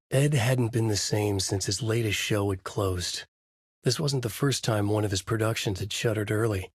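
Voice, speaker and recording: An actor playing a brooding, haunted male novelist who narrates his dark horror thriller in a measured, grave, husky voice for a video game. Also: medium pitch, no room sound, studio quality. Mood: somber. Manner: measured, grave, dramatic delivery. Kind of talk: scripted noir horror narration.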